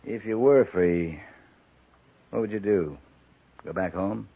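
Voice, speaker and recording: An American man playing a steady, plain-spoken cavalry captain in a 1950s radio western, firm and laconic. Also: fairly low pitch, slow, gravelly, phone-quality sound, heavily acted. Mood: calm, probing. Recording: band-limited 1950s radio recording.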